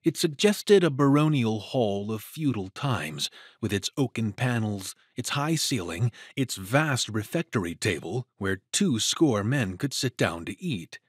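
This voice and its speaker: A man narrates a dramatic story in a husky, mid-pitched voice. His delivery is very expressive, in a close, dry recording. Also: measured pace, few pauses, dry recording.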